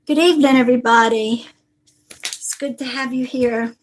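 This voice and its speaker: A woman's voice: fairly high pitch, steady tone, moderate pace, slight background noise, low-quality recording. A woman psychic greeting viewers one by one on a live stream, a little stuffy from a cold and calling people honey and sweetheart. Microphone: device on a table.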